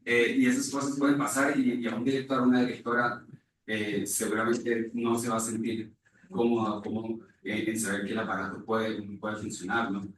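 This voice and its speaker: A man's voice: lowish voice, little pitch movement, normal speed, fairly bright tone. A man explaining something spontaneously as a conference panelist, hesitant and thinking aloud. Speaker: conference panelist presenting on stage design. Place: reverberant indoor conference room. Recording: very echoey room, distant mic, faint noise.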